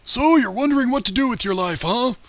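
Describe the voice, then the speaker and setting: A man's voice: very high voice, animated, brisk, fairly bright tone, no echo. A man voicing a senile old blacksmith who gives folksy, rambling advice in a comedic cartoon parody dub. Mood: folksy, musing. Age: older.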